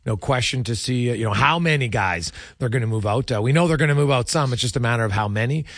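A man's voice: medium pitch, fast talker, no echo, clean studio sound. A man hosting a sports radio show, chatting casually about hockey and putting meandering questions to his guest.

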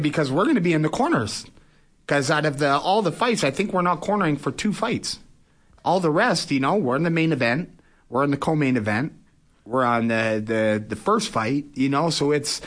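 A man's voice: a little high, a bit bright. A man, talking colloquially as a guest in a casual interview, often saying "you know". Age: early forties. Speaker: boxer and fight promoter, interview guest.

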